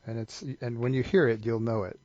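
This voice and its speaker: A man's voice: mid-range voice, little pitch movement, brisk, darkish tone, no room sound, highs cut off. A man in casual podcast conversation, chiming in with short remarks. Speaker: podcast participant. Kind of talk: casual chat, brief interjections.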